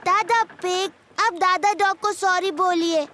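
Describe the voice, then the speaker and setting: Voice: extremely high pitch, slow, sharp tone, a little hiss or hum, exaggerated voice. A performer voicing a cheerful, bossy, giggly little girl pig in a children's cartoon dub, speaking in an emphatic childlike way. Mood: playful. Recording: music under the voice.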